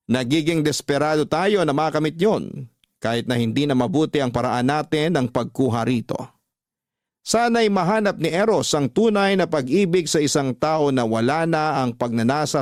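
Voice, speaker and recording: A man's voice: fairly high pitch, a little mellow, no echo, studio quality. A man with a Filipino accent, a radio host reading listeners' letters as earnest, sentimental, dramatic narration. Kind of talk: dramatic scripted narration of love-story letters. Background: music bed.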